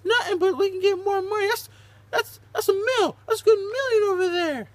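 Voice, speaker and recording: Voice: very high-pitched, brisk, no echo. A performer voicing Lena's part, a conniving young female puppet, casual and wheedling, in an amateur puppet show. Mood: persuasive.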